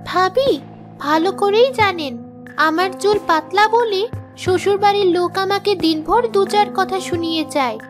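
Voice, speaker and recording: A woman's voice: very high-pitched, unhurried, pitched above the performer's own voice. A woman voicing a plaintive, downtrodden young wife in a children's fairy-tale cartoon. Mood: hurt protest. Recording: music behind the voice.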